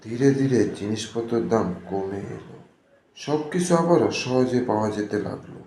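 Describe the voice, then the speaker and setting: A man's voice: medium pitch, normal speed, mellow, echoey room, slight background noise. A man narrating a scripted cartoon story.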